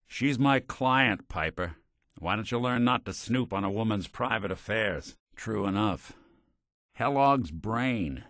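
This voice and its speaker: An actor playing a weary, wry but kind synth private detective in a video game, with an American accent and a folksy old-time gumshoe delivery. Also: male voice, on the low side, fairly bright tone, dry recording, clean studio sound, full character voice. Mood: dry, measured. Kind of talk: noir detective game dialogue.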